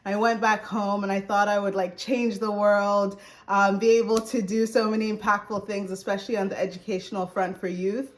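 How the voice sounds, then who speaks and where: A woman's voice: medium pitch, flat delivery, a little fast. A woman in her twenties from Zambia, chatting casually as an interview guest, often saying "like" and "you know". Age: 20s. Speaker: interview guest, recruiting manager. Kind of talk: casual personal interview storytelling.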